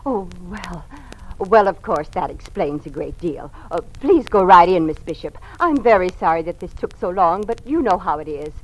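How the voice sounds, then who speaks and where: An officious university secretary in a vintage radio play. Her voice is on the low side. She speaks in a clipped, rule-bound, bureaucratic way. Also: expressive, normal speed, low-quality recording. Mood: flustered, deferential. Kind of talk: old-time radio drama acting.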